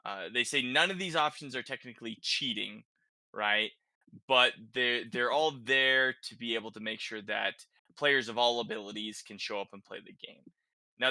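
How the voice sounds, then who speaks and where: A man's voice: mid-range voice, sharp tone, no room sound. A man hosting a podcast, chatting casually about video games in an informal way, as if thinking aloud. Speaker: podcast host.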